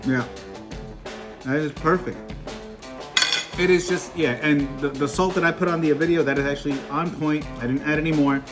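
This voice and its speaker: A man's voice: on the high side, steady tone, moderate pace, long pauses, over music. A man from Peru hosting a cooking vlog, talking through a recipe in an upbeat, chatty way with small jokes at his own expense. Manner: upbeat, chatty, self-joking. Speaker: cooking vlogger. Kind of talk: casual cooking tutorial.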